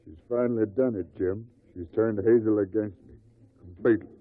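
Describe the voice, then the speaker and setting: A man's voice: fairly low pitch, steady tone, a little fast, no room sound, close to an everyday voice. Man acting a surly drinking husband, muffled old radio. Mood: bitter. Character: bitter hard-drinking husband, stubborn and touchy, in a bar. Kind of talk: 1950s radio drama acting. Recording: old muffled narrow-band 1950 radio recording.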